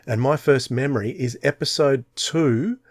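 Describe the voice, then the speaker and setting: A man's voice: mid-range voice, unhurried, dry recording. Australian man, close dry sound. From Tasmania, Australia. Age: 40s. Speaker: podcast co-host. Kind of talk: casual podcast chat.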